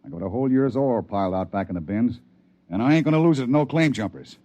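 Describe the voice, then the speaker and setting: A gruff, folksy middle-aged prospector, played by a man in an old radio play. He talks plainly, in a mid-range voice, with rough frontier grammar. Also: mid-range voice, animated, fast talker, mellow, dull audio, lightly acted. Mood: wary. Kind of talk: 1950s radio drama acting.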